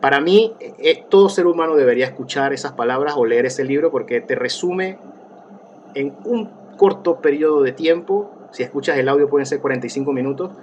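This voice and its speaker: A man's voice: on the high side, brisk, a little mellow, highs cut off, slight background noise. Rambling man musing. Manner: rambling, many restarts and fillers, reflective. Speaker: interview guest, therapist. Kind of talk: casual conversational interview.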